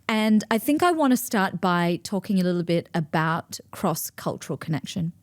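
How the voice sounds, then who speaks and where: A woman in her forties, hosting her own show and explaining ideas to listeners in a lively, expressive way. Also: on the low side, moderate pace, dry recording, clean studio sound. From grew up between East and West, in Australia. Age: 40s. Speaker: podcast host and speaker. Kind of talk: solo talk to listeners.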